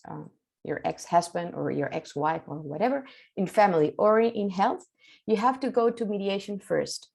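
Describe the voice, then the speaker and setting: A woman's voice: medium pitch, darkish tone. Chilean woman speaking English, hesitant. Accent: Chilean Spanish. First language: Spanish. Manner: hesitant, many fillers and restarts. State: nervous. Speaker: keynote speaker, mediator. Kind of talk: conference talk.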